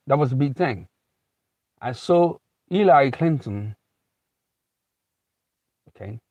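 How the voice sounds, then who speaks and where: A man's voice: mid-range voice, no room sound. A man from Haiti giving a rambling, unscripted motivational talk as a speaker, repeating himself and restarting phrases often. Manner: rambling, repetitive, frequent restarts and "you know". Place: lives in America.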